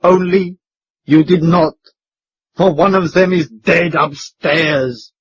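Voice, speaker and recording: A man's voice: a little high, fairly even intonation, slow, husky, no echo, lo-fi sound, pitched above the performer's own voice. A man voicing a breathless, panicky hotel keeper. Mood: grave. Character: flustered foreign hotel proprietor, male, middle-aged.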